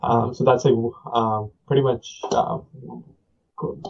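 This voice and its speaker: A man's voice: mid-range voice, fairly even intonation, measured pace, vocal fry, darkish tone, slightly rough. A young man from India whose first language is Punjabi, speaking as a podcast guest in a thoughtful way, with many fillers and restarts. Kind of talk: conversational interview. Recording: dull lo-fi audio.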